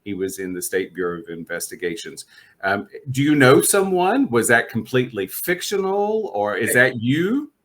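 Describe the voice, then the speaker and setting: Man, podcast host, middle pitch, enthusiastic, chatty, laughs easily. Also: very animated, normal speed, fairly bright tone. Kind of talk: casual interview chat.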